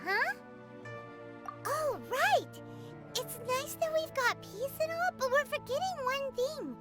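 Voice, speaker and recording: A woman voicing a small, bubbly floating fairy companion in a video game, talking quickly and brightly. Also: very high voice, heavily acted. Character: tiny chirpy floating female fairy companion. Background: game music.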